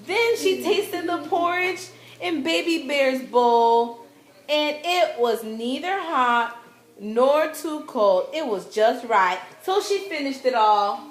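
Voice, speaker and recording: A high-pitched woman reads a children's fairy tale at a slow, deliberate pace. She is narrating a puppet show in a roomy, echoing space. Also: lively intonation. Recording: echoey room, faint background noise.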